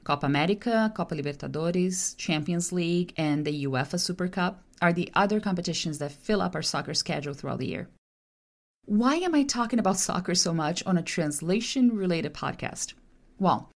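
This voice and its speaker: Woman podcaster, low-pitched, reflective scripted monologue with a lively melodic lilt. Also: brisk. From Brazil. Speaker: podcast host and translator.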